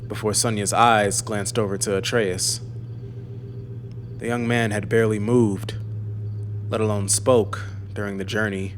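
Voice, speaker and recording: A man narrating a scripted fantasy audiobook in a measured, serious way. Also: lowish voice, slight background noise.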